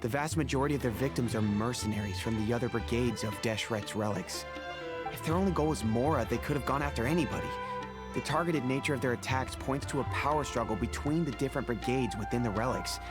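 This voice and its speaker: A man playing an earnest, level-headed mercenary scout in a fantasy game, explaining things in a measured way. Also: mid-range voice, over loud music, close to an everyday voice. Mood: serious, analytical.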